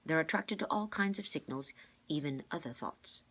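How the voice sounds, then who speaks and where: Kindly older male storybook mentor, played by a woman: low, husky, measured, articulate. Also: expressive, fairly bright tone, full character voice. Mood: patient explaining. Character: calm, clever older man, kindly explaining mentor.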